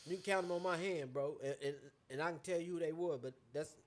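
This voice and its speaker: Texas guy w a high voice, podcast chat, repeats himself, drawls and trails off. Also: fast. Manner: animated, repeats himself and restarts, trailing off quietly. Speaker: podcast co-host. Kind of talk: casual chat.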